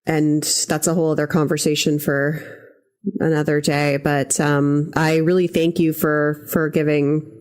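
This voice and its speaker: A woman's voice: low-pitched, level tone, unhurried, a little mellow. A woman hosting a podcast, thanking her guest and closing the episode in an upbeat way. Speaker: podcast host. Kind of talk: podcast interview and outro.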